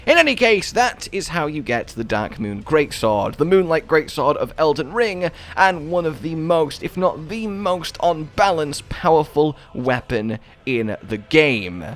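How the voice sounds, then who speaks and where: A man's voice: on the high side, big pitch swings, a little slow, hardly any pauses. A man giving an enthusiastic video game guide voice-over in a chatty, wordy, playfully dramatic way.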